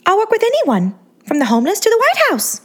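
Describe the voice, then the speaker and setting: A woman voicing a self-absorbed female celebrity who coos in a high, childlike sing-song. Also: huge pitch swings, a little fast, exaggerated voice. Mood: cooing.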